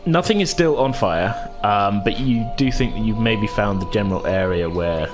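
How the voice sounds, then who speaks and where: A man's voice: fairly low pitch, moderate pace, over music. A man running a tabletop game, describing scenes in a casual, informal and hesitant way. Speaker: game master.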